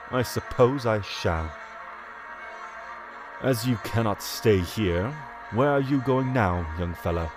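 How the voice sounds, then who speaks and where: Haughty, scornful Archbishop played by a man, period audio drama, pompous and very animated, strong music underneath. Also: on the low side, takes it slowly, long pauses. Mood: cold, dismissive. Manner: pompous, scornful, formal archaic diction. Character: haughty, contemptuous Archbishop, an angry employer berating his servant. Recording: loud music behind the voice.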